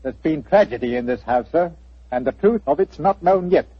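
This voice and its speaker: An actor playing a stiff, guarded old butler in a 1940s radio drama, formal and secretive. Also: male voice, on the high side, lively intonation, normal speed, husky, dry recording. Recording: dry, muffled old radio recording.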